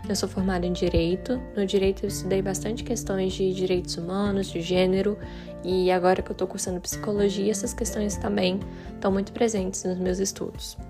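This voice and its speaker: A woman's voice: medium pitch, flat delivery, a little fast. A Brazilian woman in her mid twenties, a law graduate now studying psychology, introducing herself in a composed, articulate way. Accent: Brazilian Portuguese. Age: 20s. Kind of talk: self-introduction. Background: guitar music.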